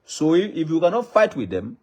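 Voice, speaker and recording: Yoruba accent nigerian guy, husky voice, pleading over and over like a preacher. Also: on the high side, sing-song, brisk, a little mellow. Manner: pleading, repetitive, preacher-like cadence. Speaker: traditional ruler addressing the public. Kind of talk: impassioned public appeal.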